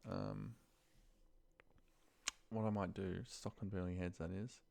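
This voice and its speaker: A man thinking aloud in a muttered, pausing way as he talks through spreadsheet work on his computer. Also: low voice, steady tone, vocal fry, dark tone, a little hiss or hum. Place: at a computer desk, indoors.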